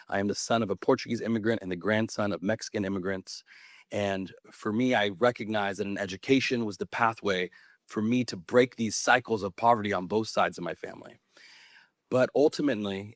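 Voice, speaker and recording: Earnest low-voiced man in his thirties. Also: no room sound, studio quality. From California. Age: 30s. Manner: earnest, passionate advocacy. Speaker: mayor on a panel. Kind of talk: panel talk to an audience. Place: conference panel.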